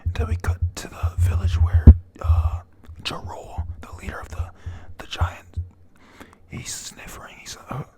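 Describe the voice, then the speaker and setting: A person whispering a casual, halting, slangy retelling of a manga chapter, panel by panel, reading out the characters' lines. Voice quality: breathy unvoiced whisper. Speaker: manga reader narrating.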